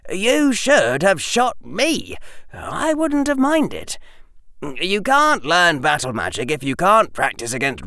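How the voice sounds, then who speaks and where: Audiobook role: schoolboy wizard, reasoning and stubborn, earnest, raised pitch, very animated adult male reader. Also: no room sound, clean studio sound, exaggerated voice. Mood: exasperated, sober. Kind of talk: audiobook character voice.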